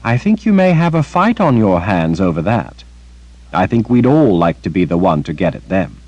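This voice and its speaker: A man voicing a young woman, a calm, steady sorceress, speaking in a soft, reassuring way. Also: deep voice, normal speed, no echo, highs cut off.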